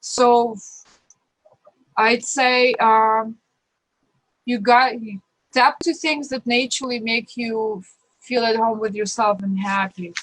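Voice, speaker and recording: A woman from Ukraine with a Ukrainian accent, a guest talking earnestly and warmly about her life, rambling and restarting her sentences. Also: a little high, fairly even intonation, slow pace, long pauses, no room sound, rough recording. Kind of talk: casual interview talk, personal advice.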